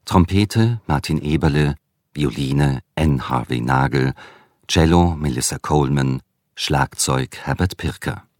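A man reading scripted radio credits as an announcer, formal and measured. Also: rumbling low, a little mellow, dry recording, clean studio sound.

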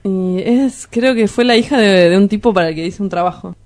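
A woman's voice: medium pitch, fairly quick, a little mellow, close to an everyday voice. A woman playing a pretentious, boastful, evasive lover in a radio drama, with an Argentine Rioplatense accent and a hesitant, wordy, colloquial delivery. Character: sleazy, pretentious, self-styled philosopher lover, evasive and boastful.

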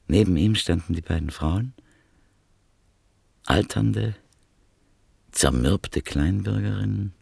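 A man narrating a literary radio drama in a measured, grave storytelling manner. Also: sits low, creaky, darkish tone, slightly rough, dry recording.

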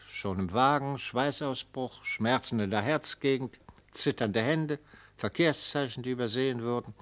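A man's voice: mid-range voice, a bit bright. An actor playing a retired prosecutor in a radio play, theatrical and silky, mockingly affable as he probes and savours each phrase. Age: older. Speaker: prosecutor in a mock trial. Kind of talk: German radio play acting.

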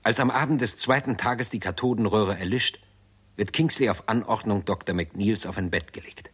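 A man with a deep, husky voice narrates a sixties radio drama. His delivery is sober and measured, like a scripted report. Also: a bit bright. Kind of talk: 1960s radio play narration.

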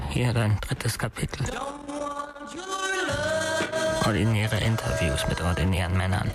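A man's voice: fairly low pitch, close to an everyday voice. An actor playing a deranged, obsessive male killer in his thirties in a German radio crime drama, pleading intimately and often sinking toward a whisper. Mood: disgusted, accusing. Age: 30s.

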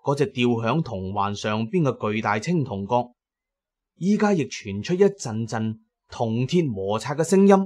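A man's voice: mid-range voice, lively intonation, measured pace, a little mellow. A man giving dramatic first-person narration as the narrator of an audio drama.

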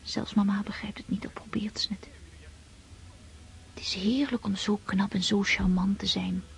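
An actress playing a dreamy, vain and impulsive teenage daughter of an aristocratic family in a radio drama. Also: female voice, mid-range voice, steady tone, moderate pace, creaky, a little hiss or hum, close to an everyday voice. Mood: hushed, dreamy. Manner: girlish, whimsical, impulsive.

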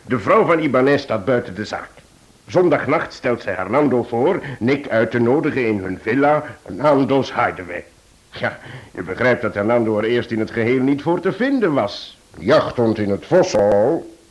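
An actor playing a genial detective in a radio play, explaining a case in a measured, explanatory manner. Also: male voice, mid-range voice, lively intonation, lo-fi sound, close to an everyday voice. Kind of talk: Dutch radio play acting.

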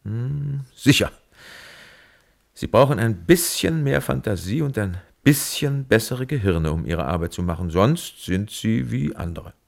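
An actor playing a coolly pragmatic nuclear physicist giving detached, dry, assured testimony in a German radio play. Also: male voice, lowish voice, expressive, measured pace, creaky. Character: coolly pragmatic male nuclear physicist defending weapons tests.

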